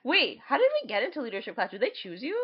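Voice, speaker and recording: A woman's voice: a little high, very animated, fast talker, husky, highs cut off. A young woman co-hosting a podcast, casually reminiscing with a friend in an exclamatory way, repeating phrases for emphasis. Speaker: podcast co-host.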